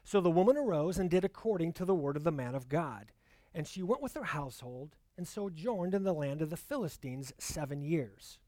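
A man delivering a sermon in an earnest, measured voice. He lingers on key words as he reads scripture. The sound is dry and close, with no room echo. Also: high-pitched, a little mellow. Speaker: preacher.

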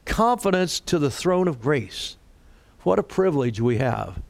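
A man preaching a sermon and leading a prayer, his voice raspy today, speaking deliberately and rising for emphasis. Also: fairly high pitch, sing-song, dry recording. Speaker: pastor.

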